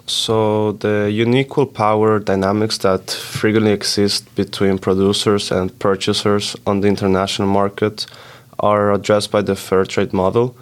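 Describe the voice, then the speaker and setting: Low, flat-voiced young Swiss-born man. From Switzerland. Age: young adult. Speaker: podcast co-host. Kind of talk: student podcast, explaining terms.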